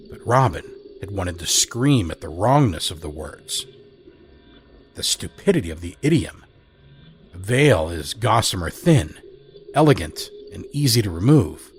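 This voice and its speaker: A man narrating an audio drama in a measured, dramatic storytelling manner. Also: low voice, animated, faint music behind.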